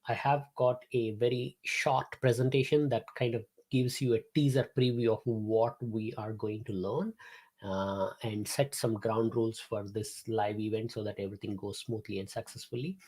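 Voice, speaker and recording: A man's voice: on the low side, fairly even intonation, normal speed, darkish tone. A man, hosting a live-streamed class from his home office, chatting to viewers with many fillers and restarts. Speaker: host and instructor.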